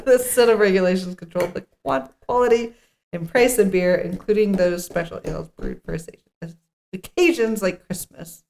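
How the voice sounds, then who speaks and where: A woman's voice: mid-range voice, moderate pace, creaky, darkish tone. A woman co-hosting a podcast, casually reading through a script, goofy and giggly, tripping over words and commenting as she goes. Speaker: podcast co-host. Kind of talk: scripted table read mixed with casual banter.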